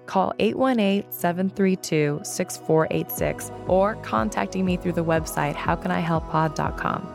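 A woman hosting a solo podcast episode, earnest and chatty, her voice raspy today. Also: on the low side, unhurried, soft background music. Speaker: podcast host.

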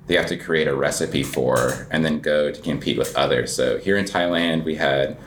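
Low-voiced American man chatting casually. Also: moderate pace, fairly bright tone, slight background noise. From United States. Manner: rambling, informal, peppered with "like". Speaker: interview guest, coffee shop owner. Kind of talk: casual conversational interview answers.

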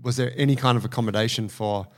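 A man co-hosting a podcast, asking his guest measured, open questions. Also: mid-range voice, steady tone. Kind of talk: interview questions.

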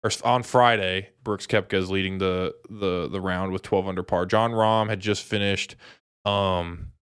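A man's voice: deep voice, steady tone, moderate pace, fairly bright tone, clear, resonant tone, no echo, studio quality. A young American man from Dallas co-hosting a sports podcast, chatting casually about golf in slangy, informal talk. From Dallas, Texas, United States.

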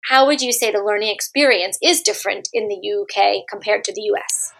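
A woman hosts a podcast, voice at a middling pitch. She reads a prepared introduction and then puts polite, measured questions to her guest. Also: vocal fry, a bit bright, rough recording. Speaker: podcast host. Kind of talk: scripted intro and interview questions.

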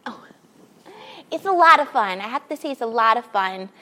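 A woman's voice: a little high, lively intonation, normal speed, sharp tone, rough recording. A woman in her thirties from Queens, New York City, a children's librarian chatting as a podcast guest in an upbeat way and laughing often. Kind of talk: casual interview chat.

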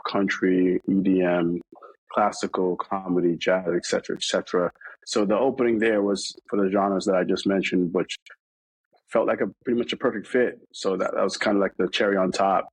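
A man's voice: low voice, no room sound, poor audio. A man from New York talking casually in an interview, rambling with many fillers and restarts. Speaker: interview guest.

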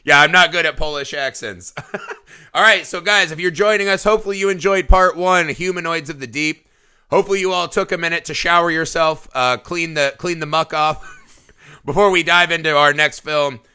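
Excitable bright-voiced man hosting. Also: on the high side, normal speed, clean tone. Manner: excitable, laughs often, frequent restarts and swearing. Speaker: podcast host. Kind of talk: casual film podcast chat.

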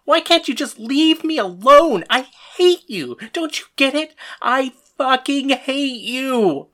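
A woman's voice: low-pitched, animated, moderate pace, a bit bright, full character voice. A woman playing a bitter, defiant god of death in a first-person audio drama. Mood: furious, defiant.

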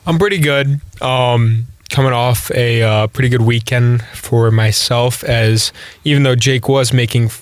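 A man's voice: mid-range voice, steady tone, a little slow, no room sound. A young man with a cold co-hosting a casual sports podcast, rambling easily with lots of fillers. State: has a cold, sniffly. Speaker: podcast co-host.

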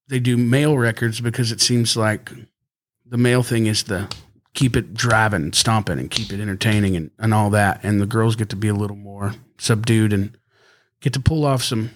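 A man who is a country singer, chatting casually as a podcast guest and rambling easily with frequent laughs. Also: slight background noise. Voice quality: high singing voice. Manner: easygoing, rambling, laughs often.

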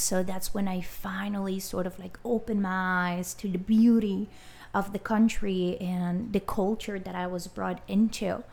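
Colombian-accented woman with a gravelly voice. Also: mid-range voice. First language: Spanish. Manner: warm, halting, with fillers. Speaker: guest. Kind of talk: casual interview chat.

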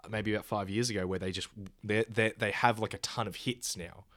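A man with a fairly low voice banters as a co-host. He is jokey and sweary, repeats himself in loose casual talk, and sounds dry and close. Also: fairly even intonation, fairly quick, fairly bright tone, no room sound. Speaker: podcast co-host. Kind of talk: casual chat about films and shows.

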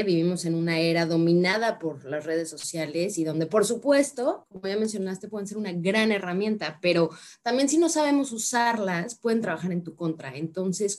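A woman hosting an interview, leading her guest with warm affirmations and open questions. Her delivery is friendly and conversational. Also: fairly low pitch. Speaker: interviewer host.

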